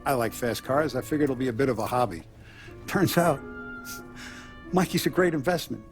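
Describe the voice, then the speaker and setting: An actor playing a shrewd mob boss who gives orders in a measured, authoritative way. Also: male voice, medium pitch, lively intonation, close to an everyday voice. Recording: game cutscene with music.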